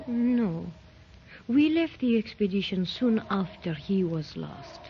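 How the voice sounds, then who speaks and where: An actress playing a nervous young woman hiding a secret in a 1930s radio drama, hesitant and breathy. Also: medium pitch, big pitch swings, slow. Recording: dull lo-fi 1930s radio recording with faint hiss.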